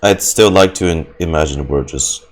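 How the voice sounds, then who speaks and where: A woman with a very low voice reacts casually to a game as a streamer. She is deadpan and irreverent, and game music plays clearly behind her. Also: moderate pace.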